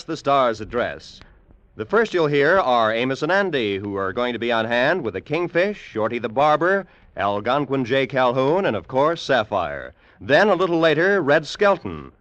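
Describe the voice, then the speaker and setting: A man's voice: mid-range voice, sing-song, moderate pace, fairly bright tone, dry recording, muffled recording. A man with an American accent announcing programmes in an old-time radio promotional style.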